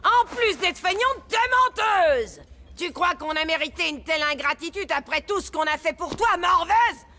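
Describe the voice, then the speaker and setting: A woman's voice: extremely high pitch, lively intonation, normal speed, heavily acted. A woman voicing an abusive, melodramatic mother in a French cartoon, scolding in vulgar French with a French accent. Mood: scolding, indignant. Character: shrill, abusive, doting mother and harsh guardian. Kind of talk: animated cartoon acting. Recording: loud music behind.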